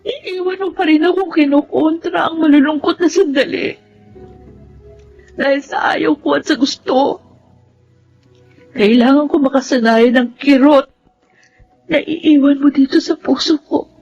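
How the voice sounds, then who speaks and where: Sorrowful young Filipina, very high voice, plaintive, melodramatic radio drama, music behind. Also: female voice, unhurried, long pauses, a little mellow. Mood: heartbroken. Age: young adult. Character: sorrowful, resigned young sweetheart from broken home. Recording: dull audio with music behind.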